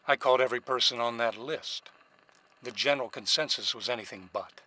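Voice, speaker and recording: A man's voice: mid-range voice, fairly quick, pushed and bright. A man playing a shrewd, cynical private investigator in a radio drama, both narrating and questioning in a noir style. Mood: wry, narrating. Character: shrewd hard-boiled male private investigator, cynical and probing.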